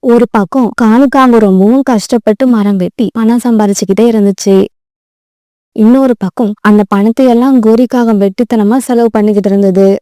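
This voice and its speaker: A woman's voice: a little high, steady tone, brisk, warm tone, clear, resonant tone, no room sound, studio quality. A woman narrating a children's moral story as a scripted voice-over.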